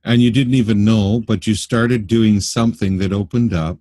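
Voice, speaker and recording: A man hosting a podcast interview, asking questions in a halting way with many ums and restarts. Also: lowish voice, fairly even intonation, warm tone, no room sound, studio quality. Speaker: podcast host.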